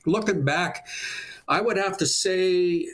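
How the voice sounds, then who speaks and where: A man's voice: high voice, takes it slowly, a bit bright. A middle-aged Canadian man from small-town Saskatchewan, a public address announcer, chatting casually and reminiscing in an interview in a folksy way.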